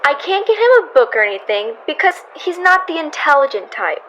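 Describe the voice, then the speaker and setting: A woman's voice: high-pitched, big pitch swings, brisk, fairly bright tone, lo-fi sound. A woman playing a haughty, teasing teenage girl, breezy and cutting, in an old-time radio comedy style. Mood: disdainful, musing. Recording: clear background noise.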